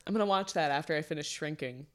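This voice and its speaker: A man's voice: on the high side, quick pace, clean tone. A man in casual chat as a podcast co-host.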